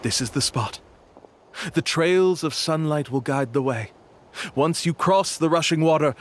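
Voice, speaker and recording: Slick, animated male swindler. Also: fairly high pitch, slight background noise. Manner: smooth-talking, self-assured, taunting. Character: slick smug male scam tour guide. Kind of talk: video game voice acting.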